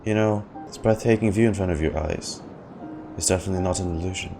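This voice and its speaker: Man, low voice, game reaction commentary, music playing behind him. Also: quick pace, vocal fry. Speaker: reaction streamer. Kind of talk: game reaction, reading dialogue aloud and commenting.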